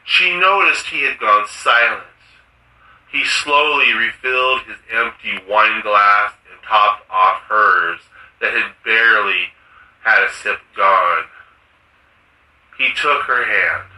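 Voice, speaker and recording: A man's voice: fairly low pitch, bright, forceful tone. A man, a writer, reading literary short fiction aloud, recorded in a roomy space at a distance from the mic. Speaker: writer reading his own story.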